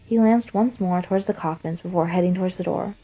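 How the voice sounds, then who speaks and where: A woman's voice: fairly low pitch, fast, mellow, poor audio. A woman reading and chatting as an amateur fan fiction writer and narrator. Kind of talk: scripted story narration and casual chat.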